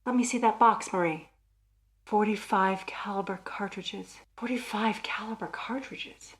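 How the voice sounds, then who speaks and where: A woman's voice: mid-range voice, measured pace, background noise, close to an everyday voice. An anxious, dramatic married woman from a radio thriller, acted by a woman. Her voice sits in a middle register and pleads earnestly, with the fear of someone who believes she is in mortal danger. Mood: alarmed, hushed. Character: anxious married woman convinced her husband plans murder.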